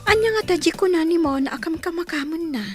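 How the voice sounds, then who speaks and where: A woman's voice: very high-pitched, expressive, fast talker, music in the background. A Filipino woman playing an upset young daughter in a radio drama. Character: upset young daughter talking to her mother. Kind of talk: Ilocano radio drama acting.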